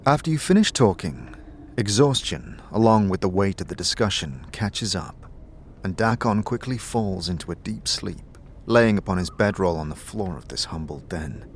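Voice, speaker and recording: A man's voice: sits low, animated, moderate pace, slight background noise. A man narrating a scripted audio drama in the second person, as a storytelling game master.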